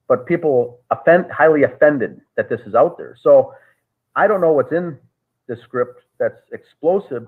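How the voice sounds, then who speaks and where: A man, a guest on a call, recounting events in a measured, halting way with frequent restarts. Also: medium pitch, slightly rough. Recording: tinny phone-like call audio.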